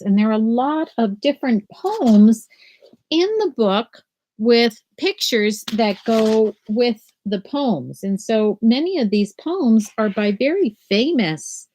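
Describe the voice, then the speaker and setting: An older woman teaching children from home over a webcam, explaining a picture book and then reading it aloud in a gentle sing-song. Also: fairly high pitch. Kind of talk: children's read-aloud lesson. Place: small room at home. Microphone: laptop webcam video call.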